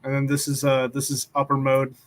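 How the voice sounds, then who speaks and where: A man's voice: medium pitch, completely flat delivery. A young man hosting a casual online group hangout, joking and often restarting his sentences. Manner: joking, halting, repeats words. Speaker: host.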